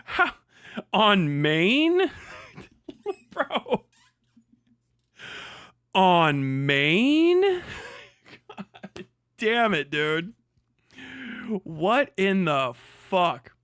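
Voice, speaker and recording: Bright-voiced sardonic streamer guy. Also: high voice, wildly swooping pitch, drawn-out words, pauses often, clear, resonant tone, no room sound, studio quality. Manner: sardonic, swearing, laughing often, incredulous outbursts. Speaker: streamer host. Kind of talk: casual live-stream commentary.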